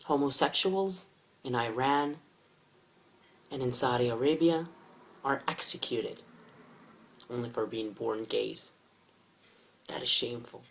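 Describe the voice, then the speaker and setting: A man from Israel giving an impassioned statement to camera, speaking in short, emphatic phrases with deliberate pauses. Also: mid-range voice, gravelly, a little hiss or hum, rough recording. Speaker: video commentator.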